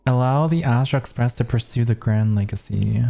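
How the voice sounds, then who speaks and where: Mellow, offhand game streamer. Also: mid-range voice, normal speed, gravelly. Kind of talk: casual game reaction commentary, reading dialogue aloud.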